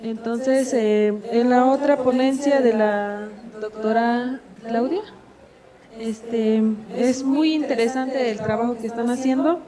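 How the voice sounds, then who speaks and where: A woman's voice: fairly high pitch, fairly even intonation, takes it slowly. Hesitant woman with crowd around. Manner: hesitant, many fillers and restarts. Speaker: audience member asking a question. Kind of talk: spontaneous conference floor comment. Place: university conference room with audience. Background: audience in the room.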